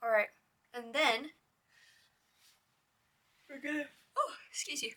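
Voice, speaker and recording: A young woman with a hoarse, raspy voice hosts a casual crochet tutorial. She chats with a lot of "like" and counts her stitches in a soft whisper. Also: high voice, takes it slowly. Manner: chatty, with lots of "like".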